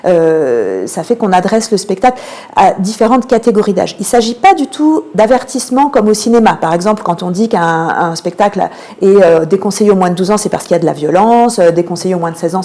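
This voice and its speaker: A woman with a dark, relaxed voice at a middle pitch answers as a radio interview guest. She explains her work in detail. Her phrases are often broken by long, searching fillers. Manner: explanatory, frequent hesitations and drawn-out fillers. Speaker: theatre programming manager, interview guest.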